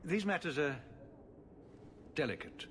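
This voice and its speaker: A man's voice: a little high, animated, measured pace, fairly bright tone, slight background noise. An actor playing a formal, anxious city ruler pleading for help with his son. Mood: worried. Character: worried middle-aged male city ruler and father.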